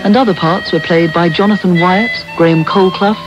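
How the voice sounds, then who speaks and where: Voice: medium pitch, steady tone, moderate pace. Radio continuity announcer: reads cast credits, music underneath, dull taped sound. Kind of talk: reading drama cast credits. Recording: dull radio broadcast tape. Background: prominent music.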